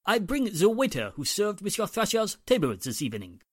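A man's voice: high-pitched, wildly swooping pitch, fairly quick, fairly bright tone, slightly rough, dry recording, clean studio sound, exaggerated voice. A man playing a deferential, formal French restaurant man with a French accent, in 1940s radio drama style.

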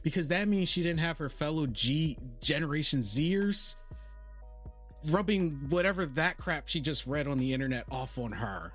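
A man's voice: on the high side, expressive, moderate pace, fairly bright tone, soft background music. A man in his thirties hosting a casual group chat, exasperated and rambling, often stumbling over restarts. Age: 30s. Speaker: podcast host.

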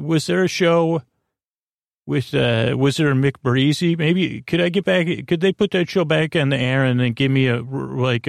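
Creaky-voiced, rambling man hosting a sleep podcast. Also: a little high, no echo, clean studio sound. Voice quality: creaky, gruffish voice. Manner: halting, goofy, digressive, trailing off mid-thought. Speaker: host of a bedtime sleep podcast. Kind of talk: rambling, meandering monologue to lull listeners to sleep.

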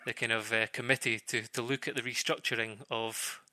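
A man giving off-the-cuff football analysis as a pundit, haltingly, searching for words and repeating phrases. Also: mid-range voice, level tone, bright, forceful tone, no room sound.

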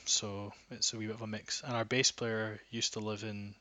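Bright-voiced man, flat delivery. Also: fairly low pitch, normal speed. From Scotland. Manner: rambling, frequent restarts and fillers. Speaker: guest, metal band guitarist. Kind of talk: casual podcast chat.

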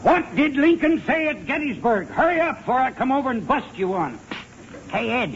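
An actor playing an excitable, blustering Western saloon barman with colloquial talk in a 1930s comedy film. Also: sits high, takes it slowly, heavily acted. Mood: impatient. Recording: muffled noisy old film soundtrack.

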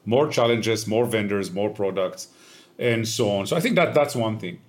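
A man in his fifties with an Israeli accent, answering interview questions in a measured, explanatory way with frequent "uh" pauses. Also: lowish voice. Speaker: tech company founder, guest.

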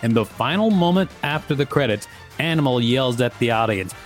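A man's voice: medium pitch, lively intonation, brisk, soft background music. A man co-hosting a podcast, reading an article aloud and chatting casually about it. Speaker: podcast co-host.

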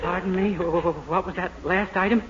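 Through a muffled, noisy 1940s radio recording comes a naive young bridegroom. His voice is high and quick, with an earnest, plaintive, literal-minded manner that makes him sound mild and easily flustered. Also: a bit bright. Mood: puzzled, polite. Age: young adult. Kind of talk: 1940s radio situation comedy acting.

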